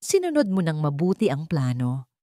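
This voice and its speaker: A woman voicing a cold, controlling, wealthy father who speaks in measured, commanding threats in an audio love drama. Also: low-pitched, huge pitch swings, mellow, dry recording, clean studio sound.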